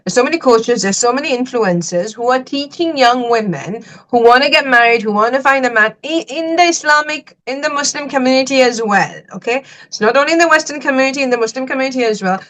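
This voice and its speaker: Sri lankan-raised woman hosting a podcast, slightly high voice, lots of likes and y'alls. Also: fast, hardly any pauses, fairly bright tone, rough recording. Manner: chatty, lots of "like" and "y'all", emphatic asides. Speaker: podcast host. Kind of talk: casual podcast chat.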